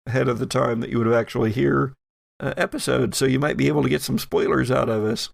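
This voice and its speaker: Guy running a tabletop game podcast, slow measured delivery, bit of fry in the voice, really dry recording. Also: medium pitch, steady tone, no echo. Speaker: game master and podcast host. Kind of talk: casual chat and narrated game recap.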